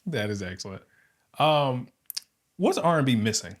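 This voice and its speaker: A man hosting an interview, asking questions in a relaxed, unhurried way with some filler sounds. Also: medium pitch. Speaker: interview host.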